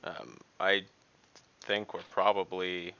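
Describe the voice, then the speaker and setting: A man's voice: low-pitched, fairly even intonation, takes it slowly, gravelly, sharp tone, clear, resonant tone, lo-fi sound. A man playing a tabletop game, talking through plans casually in a dry, matter-of-fact way. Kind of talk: casual in-game planning talk.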